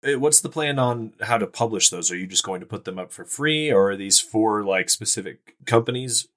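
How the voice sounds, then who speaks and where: Bright, forceful male podcast host. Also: fairly low pitch, expressive, normal speed. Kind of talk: casual podcast chat.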